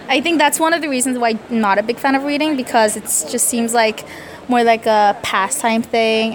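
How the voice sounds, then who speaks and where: A young woman from Korea, a native Korean speaker, answering interview questions casually, hesitant, with many fillers and self-corrections. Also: a little high. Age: young adult. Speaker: interviewee, student.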